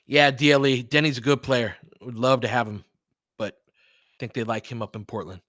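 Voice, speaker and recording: A man's voice: medium pitch, steady tone, moderate pace, fairly bright tone, dry recording. Male fan commentator for live basketball, colloquial and candid, mid-range voice, very dry close-miked sound. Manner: candid, colloquial partisan fan reactions with swearing. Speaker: fan play-by-play commentator and host. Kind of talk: live basketball play-by-play commentary with casual analysis.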